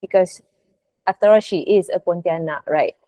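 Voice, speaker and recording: A woman, speaking casually and haltingly as a voice actor being interviewed. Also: on the low side, dark tone, dry recording. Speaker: voice actor, podcast guest.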